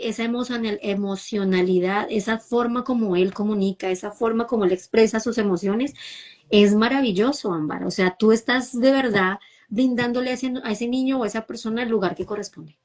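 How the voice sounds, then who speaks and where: A woman's voice: on the high side, moderate pace. Emphatic Bogotá Colombian woman. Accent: Bogotá Colombian Spanish. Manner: warm, emphatic, repeats and asks "¿sí?" for agreement. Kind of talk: conversational talk.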